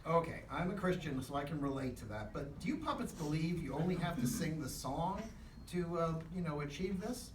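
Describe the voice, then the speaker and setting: Male Sunday school teacher, comic straight man, animated but halting, heard from a distance with room echo and faint noise. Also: fairly high pitch. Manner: hesitant, halting, questioning. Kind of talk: live comic dialogue with a puppet. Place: echoey classroom, in front of a class.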